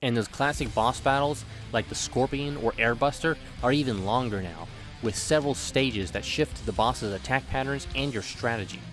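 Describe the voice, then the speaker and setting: A man's voice: mid-range voice, moderate pace. A man in his thirties narrating a scripted comedic video game review with exaggerated, playful delivery. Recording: background music under the voice. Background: music.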